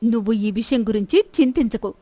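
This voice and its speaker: Woman as an imperious, high-voiced queen. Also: fairly quick, mellow, no room sound, natural voice. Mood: stern. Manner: stern, commanding. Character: imperious, indignant queen, protective elder sister. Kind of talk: scripted Telugu moral-story dramatization.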